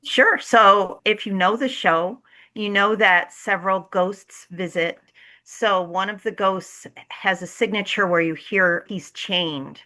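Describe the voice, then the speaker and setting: A woman's voice: medium pitch, slow, sharp tone. A woman being interviewed as a theater co-director, explaining things in an informal, explanatory way.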